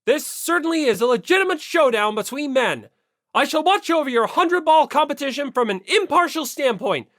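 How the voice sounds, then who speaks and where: An audiobook reader voicing a stern, zealous high school hall monitor boy with pompous, formal, emphatic speech. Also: expressive, moderate pace, fairly bright tone, clean studio sound. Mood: solemn, formal. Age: teenager. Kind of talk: audiobook character voice.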